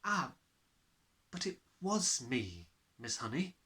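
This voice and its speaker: A man voicing an earnest, excitable little schoolgirl in a storybook, eager and insistent. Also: medium pitch, takes it slowly, heavily acted.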